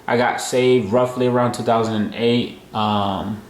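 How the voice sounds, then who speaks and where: A man's voice: medium pitch, steady tone, a little slow, roomy sound. A man co-hosting a casual couple Q&A at home, laid-back and joking with lots of slang. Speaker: co-host of family channel. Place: home room.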